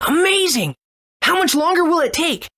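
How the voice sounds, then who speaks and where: A man's voice: very high-pitched, big pitch swings, normal speed, bright, forceful tone, full character voice. A man playing an eager, wide-eyed boy hero in a video game, youthful and earnest. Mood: excited. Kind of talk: English video game voice acting.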